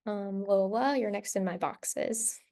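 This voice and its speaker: A woman's voice: medium pitch, slow pace, warm tone. A woman moderating a school's virtual information session over a video call, casual and encouraging as she hands the floor to others.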